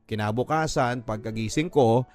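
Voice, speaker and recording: A man narrates a listener's confession letter in the first person, earnest and heartfelt. His voice sits at an ordinary middle pitch, and soft music plays under the reading. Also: moderate pace. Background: faint background music.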